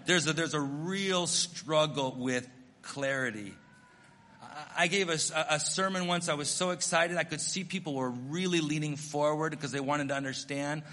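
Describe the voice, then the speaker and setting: A middle-aged American missionary pastor preaching a sermon, telling stories in an earnest, self-deprecating way. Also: male voice, on the high side, moderate pace, sharp tone, big-room sound. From United States.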